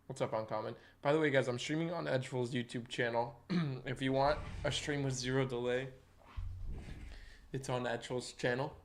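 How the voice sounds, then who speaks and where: A man live-streaming day-trading commentary, hoarse and losing his voice, who chats with viewers and walks through charts in a casual way. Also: medium pitch, steady tone, moderate pace, a little hiss or hum. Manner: chatty, greets chat viewers by name.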